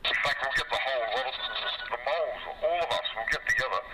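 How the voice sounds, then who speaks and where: A man's voice: medium pitch, fast, a loud crowd, low-quality recording. An Australian man ranting in an aggressive, taunting, foul-mouthed way over a CB radio. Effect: CB two-way radio. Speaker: CB radio ranter. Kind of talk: abusive radio rant. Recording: CB radio clips under music.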